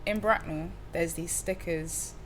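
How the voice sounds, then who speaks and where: A woman's voice: on the low side, steady tone, a little slow, a little hiss or hum, low-quality recording. A young woman from Cardiff, chatting casually in an interview with loose slang, fillers and restarts. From Cardiff, Wales. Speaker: musician guest.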